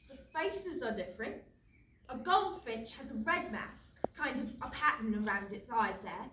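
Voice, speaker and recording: A woman's voice: fairly high pitch, big pitch swings, normal speed, sharp tone. A woman acting a seasoned, kindly castle guard who teases and explains in colloquial speech, in a staged comedy reading. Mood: explaining. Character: seasoned, chatty, bird-loving castle guard, teasing but kindly. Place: indoor studio theatre. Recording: roomy, distant stage pickup, faint noise.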